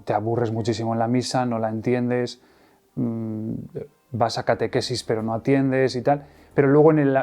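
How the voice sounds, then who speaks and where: A middle-aged man speaks in a warm, dark-toned voice. He recounts his personal story haltingly, full of pauses, fillers and false starts. Soft music plays faintly beneath him. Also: mid-range voice. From Madrid, Spain. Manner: hesitant, many fillers and restarts. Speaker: interview guest. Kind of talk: personal testimony interview.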